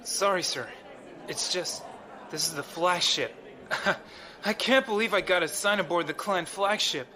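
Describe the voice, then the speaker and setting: An actor playing a nervous, clumsy young rookie gunner who stammers and apologises, in an audio drama. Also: male voice, sits high, lively intonation, measured pace, sharp tone, full character voice. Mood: eager, awed.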